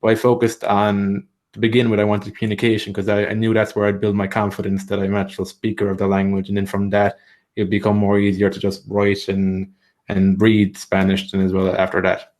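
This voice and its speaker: Man, language trainer, lowish voice, monotone, steady explaining. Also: a little fast. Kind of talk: explaining tips in a live training session.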